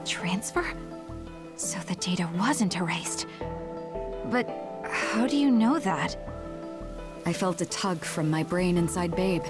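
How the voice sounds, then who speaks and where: An actress playing an earnest, composed woman in a sci-fi video game cutscene, speaking in a measured, clearly enunciated way. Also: lowish voice, loud music behind the voice. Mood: questioning.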